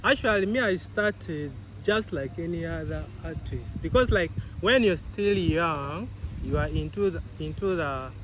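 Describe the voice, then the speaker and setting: A man's voice: a little high, unhurried. A man in his thirties from Uganda, a reggae artist answering interview questions and laughing often. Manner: laughs often, animated, repeats himself. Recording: outdoor street noise.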